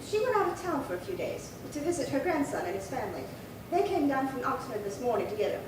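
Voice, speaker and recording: Actress as a prim old woman, high swooping voice, fussy, indignant, rambling, radio crime drama, very echoey distant recording. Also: very animated, fast talker. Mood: peevish. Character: prim, chatty, indignant old woman of seventy-eight reporting burglary. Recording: very echoey, distant, noisy room.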